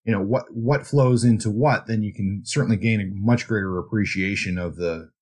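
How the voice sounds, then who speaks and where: A man's voice: on the low side, moderate pace, darkish tone. A man co-hosting a podcast, talking through books in a casual, reflective and explanatory way. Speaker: podcast co-host. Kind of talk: casual book discussion.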